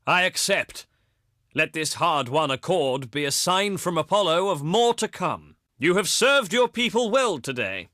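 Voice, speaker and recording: An actor playing a proud, pious ancient Greek diplomat in a strategy game, speaking in formal, theatrical oratory with haughty eloquence. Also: male voice, on the high side, sing-song, unhurried, bright, forceful tone, dry recording, clean studio sound, heavily acted. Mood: pleased, gracious. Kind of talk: theatrical scripted game dialogue.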